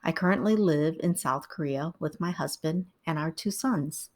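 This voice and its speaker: A middle-aged woman, born in Vietnam and raised in the United States, calmly narrating a scripted writing tutorial. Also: low voice, normal speed.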